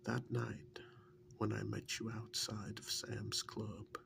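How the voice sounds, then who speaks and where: Very slow, creaky, soft-spoken acted ASMR man. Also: on the low side, level tone, background noise, heavily acted. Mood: musing, reminiscing. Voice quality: soft, breathy, gravelly, half-whispered. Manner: halting, unhurried, musing with many pauses. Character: easygoing, wryly fond long-term partner and former kidnap victim. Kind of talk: ASMR role-play, soft speaking.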